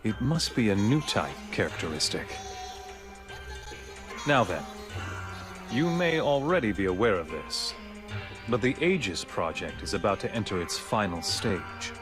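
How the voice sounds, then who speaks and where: A commanding director from a video game speaks in a low voice that moves with deliberate swells. He is composed, formal and courteous, choosing words with measured authority. Clear background music plays under him. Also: very animated.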